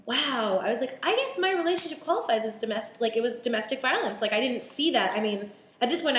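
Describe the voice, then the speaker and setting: A young woman in her twenties, a podcast guest talking candidly and haltingly, with lots of fillers and restarts. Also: mid-range voice. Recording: roomy echo.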